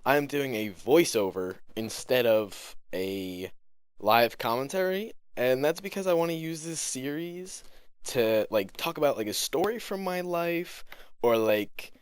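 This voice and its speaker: A man's voice: mid-range voice, measured pace, clear, resonant tone, dry recording. A young man doing a casual storytelling voiceover in a slangy, griping way while sick and starting to lose his voice. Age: young adult. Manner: slangy, animated griping with acted-out quotes. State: sick, losing voice, coughing. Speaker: gaming video host.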